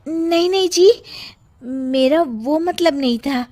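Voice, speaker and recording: A shrewd, caring young daughter-in-law from a cartoon drama , played by a woman. Her voice is high and earnest, with a worried, measured delivery. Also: a little mellow. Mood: worried, determined.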